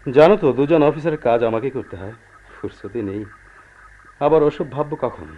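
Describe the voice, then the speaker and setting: A man acting a calm, pragmatic husband who earnestly placates his unhappy wife in a radio play. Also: medium pitch, moderate pace, natural voice. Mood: reasoning. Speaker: radio drama actor. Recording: dull, noisy old radio drama recording.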